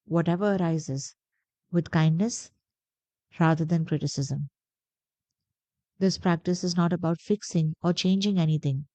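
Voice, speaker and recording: A woman guiding a meditation in a gentle, deliberate, soothing way, pausing between phrases. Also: lowish voice, no echo. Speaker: meditation guide. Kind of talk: guided meditation narration.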